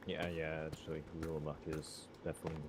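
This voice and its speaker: A man talking casually with friends during a game, halting and wry, over a noisy voice chat. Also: a bass voice, little pitch movement. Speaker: gamer playing Minecraft with friends.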